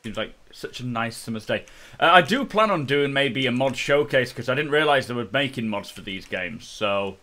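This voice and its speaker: A man's voice: medium pitch, lively intonation, moderate pace, sharp tone. A man giving casual playthrough commentary as host of a gaming video, sounding slightly tipsy. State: slightly drunk, self-reported.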